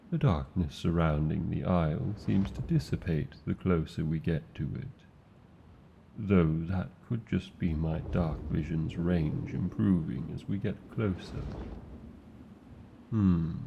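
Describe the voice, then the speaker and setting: A man with a British accent voicing a tender, protective male dragon in a fantasy ASMR roleplay, speaking in a slow, formal and doting way full of endearments. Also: sits low, creaky, lo-fi sound, slight background noise, exaggerated voice. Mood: watchful. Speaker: voice actor.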